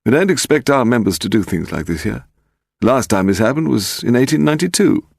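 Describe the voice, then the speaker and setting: A narrator plays a prim British club secretary in a mystery audiobook, stuffy and reproving. The voice is raised, with fussy, swooping pitch. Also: male voice, expressive, fairly quick, creaky, dry recording, clean studio sound. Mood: flustered, disapproving. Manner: pompous, prim. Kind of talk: audiobook character dialogue.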